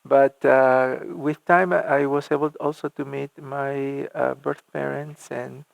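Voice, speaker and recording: A middle-aged man from Argentina, a church music minister telling his life story in an interview, halting with many fillers and restarts. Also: medium pitch, level tone, creaky, dry recording, rough recording.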